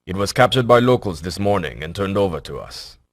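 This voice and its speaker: An actor playing a polite, formal official in a game cutscene. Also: male voice, low-pitched, expressive, no echo, studio quality. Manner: formal, measured. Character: formal facility official, adult man, polite.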